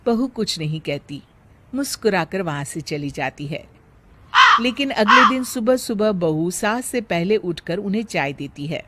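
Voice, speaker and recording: A woman's voice: fairly low pitch, animated, unhurried, very noisy. A woman storyteller reading a scripted family tale as narrator.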